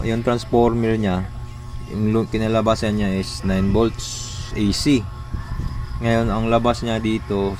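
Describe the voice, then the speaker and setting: Low-pitched Filipino man, casual repair tutorial. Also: a little mellow, rough recording. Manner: informal, talking through steps while working. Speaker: electronics repair tutorial host.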